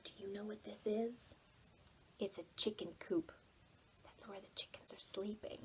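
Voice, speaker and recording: A woman leading preschool storytime, reading aloud in an expressive, playful way and speaking directly to young children. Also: medium pitch, normal speed, whispered.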